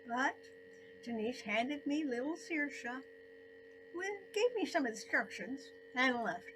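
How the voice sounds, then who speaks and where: Slow, high-voiced older woman telling a story. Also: a bit bright, rough recording. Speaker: storyteller narrating her own story. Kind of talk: scripted personal story narration.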